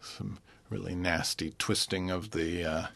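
A man, a concert pianist, answering interview questions thoughtfully and pausing often to find his words. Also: low-pitched, steady tone, vocal fry, a bit bright. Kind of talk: relaxed radio interview.

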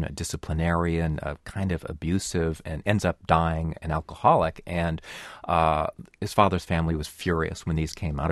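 A man's voice: sits low, no echo, clean studio sound. A man talking casually as a radio guest about books, thoughtful and digressive, with many fillers and restarts.

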